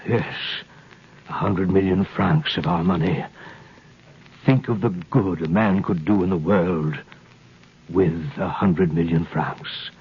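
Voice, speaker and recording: Dying elderly prisoner, deep and weary, measured and solemn, 1930s radio drama, dulled vintage recording. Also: male voice, lo-fi sound, exaggerated voice. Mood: weary, tender. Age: older. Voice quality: husky, weary. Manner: slow, solemn, emphatic delivery. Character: dying old male prisoner-priest, fervent, fatherly.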